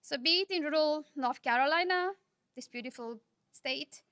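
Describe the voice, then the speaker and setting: A woman from Nepal, giving a conference keynote speech in a measured, earnest manner, often posing rhetorical questions. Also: high-pitched, a bit bright, no echo, studio quality. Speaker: keynote speaker.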